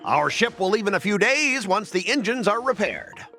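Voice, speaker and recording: A man's voice: high-pitched, very animated, moderate pace, pushed and bright, faint music behind, full character voice. A man voicing a stubborn, pushy corporate cargo specialist in a video game, haughty and indignant. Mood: smug, suspicious. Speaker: voice actor in a game. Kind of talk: animated game cutscene dialogue.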